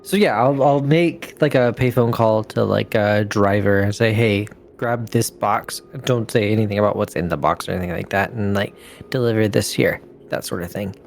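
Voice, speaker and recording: A man's voice: on the low side, normal speed, creaky, a little hiss or hum. A man playing a tabletop role-playing game, talking casually through his moves.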